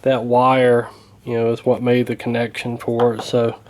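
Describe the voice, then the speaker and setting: A man's voice: mid-range voice, monotone, measured pace, darkish tone. A man talking casually and hesitantly through a hands-on repair, in a folksy, unscripted way. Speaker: radio repair hobbyist, presenter.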